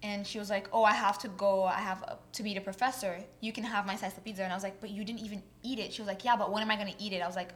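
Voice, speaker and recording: A young woman talks casually among friends at a middling pitch. She is emphatic and animated as she tells stories and makes her points. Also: very fast, few pauses. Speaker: conversation participant. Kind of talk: casual group chat.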